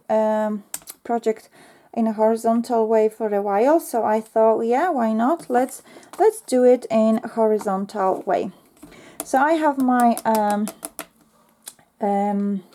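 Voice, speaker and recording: A woman with a talks her way slowly through a crafting tutorial, pausing often with ums. Her voice sits a little high but sounds mellow and soft, slightly worn as if she is losing it. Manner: chatty, talks to herself while working, frequent ums. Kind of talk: casual step-by-step craft tutorial.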